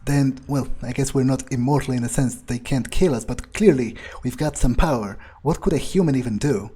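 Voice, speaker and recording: A man's voice: mid-range voice, fairly quick, dark tone, close to an everyday voice. A man playing a resentful, suspicious fledgling vampire, grumbling his thoughts aloud hesitantly in an improvised in-character video game playthrough. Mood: curious. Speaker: gaming video player.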